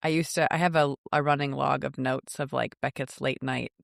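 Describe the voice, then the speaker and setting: A woman's voice: rumbling low, fairly even intonation, moderate pace, gravelly, no room sound, studio quality. A middle-aged woman co-hosting a podcast, chatting in a casual, reflective, conversational way. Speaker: podcast co-host.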